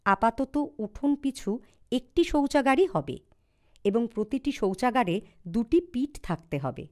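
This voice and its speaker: High-pitched West Bengali woman narrator. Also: sing-song, a little slow. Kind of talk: scripted documentary narration.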